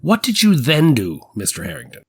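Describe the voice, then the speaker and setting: A man's voice: fairly high pitch, huge pitch swings, fairly quick, breathy edge, no room sound, full character voice. A man playing a methodical, firm prosecuting attorney who puts formal, probing questions in a courtroom reenactment.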